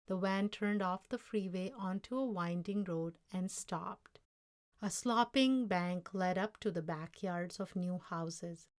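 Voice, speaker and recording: Slow-paced woman reading a story aloud. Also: on the low side. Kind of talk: scripted children's story narration, read aloud.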